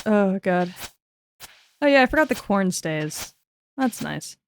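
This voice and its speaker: A woman's voice: mid-range voice, animated, takes it slowly, slight background noise. A woman in her twenties chatting casually on a game stream, laughing easily, swearing, and muttering to herself as she works things out. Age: 20s. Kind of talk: casual gaming stream chat.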